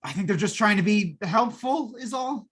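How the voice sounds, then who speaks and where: A woman's voice: medium pitch, lively intonation, moderate pace, husky. A woman playing a defensive, hesitant company technician, a man, in old-time radio style. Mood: defensive, flustered.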